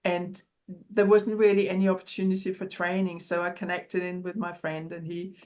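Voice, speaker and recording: A woman's voice: on the low side, little pitch movement, normal speed, darkish tone, highs cut off. Cheerful Danish woman, often laughing. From Denmark. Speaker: podcast guest. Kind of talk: casual interview chat.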